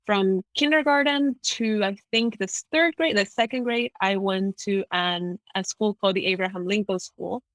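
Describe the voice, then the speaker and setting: A woman from Ecuador, a native Spanish speaker with an American accent, talks as a podcast guest. Her pitch sits in the middle for a woman and the recording is dry. She tells stories in a spontaneous, conversational way. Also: moderate pace, few pauses, a bit bright, no room sound. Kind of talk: conversational storytelling in an interview.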